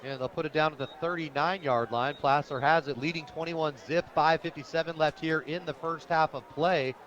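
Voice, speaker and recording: High-voiced American man calling football, lo-fi radio. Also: steady tone, moderate pace, a bit bright. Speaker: radio play-by-play announcer. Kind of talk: live American football play-by-play commentary. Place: outdoors at a football stadium. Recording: dull lo-fi outdoor radio broadcast.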